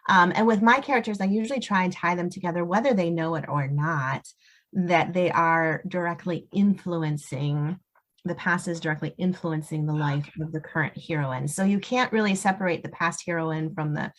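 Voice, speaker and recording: A woman's voice: on the low side, normal speed. A woman novelist talking casually as a guest in a podcast interview.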